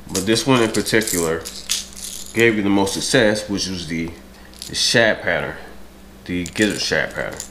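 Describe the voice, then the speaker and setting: A man's voice: sits low, moderate pace, background noise. An American man in his thirties talking casually to camera at home, his voice stuffy and congested from a cold. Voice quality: stuffy nasal voice. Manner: frequent throat clearing. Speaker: fishing vlogger. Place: home dining room with large windows.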